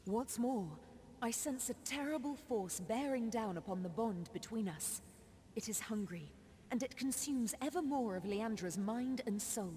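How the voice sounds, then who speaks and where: An actress playing a solemn, foreboding sorceress in a fantasy video game, speaking in grave, formal, measured phrases. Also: female voice, on the high side, mellow, a little hiss or hum, natural voice. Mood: sorrowful, fearful. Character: solemn, foreboding sorceress grieving over her wayward sister. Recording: long reverberant hall.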